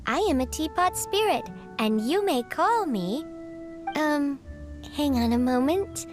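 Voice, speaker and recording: A high-voiced, prim little bird spirit speaks slowly over soft music. She is a formal female butler in a fantasy game, deliberate and courteous in every phrase. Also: expressive. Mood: prim, flustered. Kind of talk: video game character dialogue. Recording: music under the voice.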